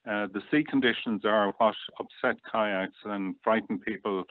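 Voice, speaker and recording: A middle-aged Irish man talks casually as an interview guest. His voice sits on the low side and comes through thin and boxy like a phone call, with no room sound around it. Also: fairly even intonation, normal speed, no room sound. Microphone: online call or phone line. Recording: muffled phone-like, dry online call.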